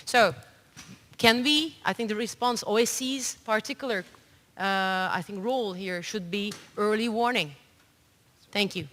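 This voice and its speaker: A woman's voice: medium pitch, a little slow, fairly bright tone. A middle-aged woman giving earnest, unscripted remarks as a panelist in a conference room. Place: large conference hall. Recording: long hall reverb.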